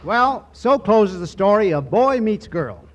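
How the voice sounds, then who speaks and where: A man's voice: high-pitched, very animated, a little slow, slight background noise. A man hosting a 1940s radio show. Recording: old radio broadcast, faint audience.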